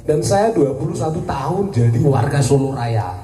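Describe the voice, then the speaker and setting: A man, a military officer, giving a joking, lively speech at a community gathering. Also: mid-range voice, moderate pace, soft background music. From Indonesia. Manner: jokey and lively, laughs at his own jokes. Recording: echoey, distant, crowd noise.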